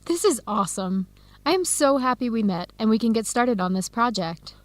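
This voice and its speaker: Actress for radio drama role: American woman academic, keen and encouraging, fairly high voice, dry studio sound. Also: expressive, normal speed, no echo, natural voice. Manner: enthusiastic, encouraging. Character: eager, friendly American woman researcher new to Ethiopia. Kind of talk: radio drama acting, clear educational dialogue.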